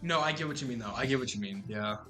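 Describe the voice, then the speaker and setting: Hyped young dude chatting on a podcast, bright voice, faint music under it. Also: male voice, medium pitch, fast. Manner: enthusiastic, jumps in often, yells when mimicking. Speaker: podcast co-host. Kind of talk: casual chat.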